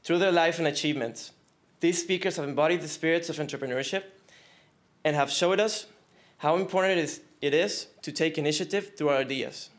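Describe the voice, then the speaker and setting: A man's voice: on the high side, a little fast. A young man introducing the event and its first speaker, reading a formal script. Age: young adult. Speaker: event host.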